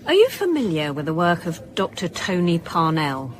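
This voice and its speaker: A woman's voice: on the low side, huge pitch swings, moderate pace. British lady detective in a radio play, polite but pushy questions, voice really moves around. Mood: businesslike. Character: brisk woman police chief inspector on a case. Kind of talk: naturalistic radio drama acting.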